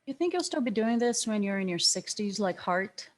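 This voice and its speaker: An actress in a screenplay table read playing a younger police detective, wry and skeptical, with a dry, probing manner. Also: female voice, mid-range voice, lively intonation, moderate pace, natural voice. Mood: wry, dreamy.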